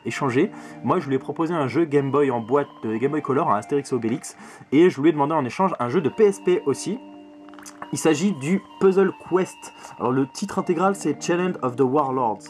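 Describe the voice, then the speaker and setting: A man's voice: mid-range voice, darkish tone. A man in his twenties hosting a casual vlog about his game collection, talking to camera in a small room and often repeating words as he goes. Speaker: video game collector and channel host. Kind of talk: casual vlog chat, showing purchases to camera. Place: small bedroom full of games, indoors.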